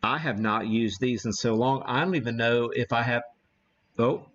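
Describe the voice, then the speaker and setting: A man hosting a casual, unscripted live radio-hobby demo, thinking aloud in a halting way as he works the equipment. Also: mid-range voice, a bit bright, highs cut off. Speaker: livestream host, amateur radio operator.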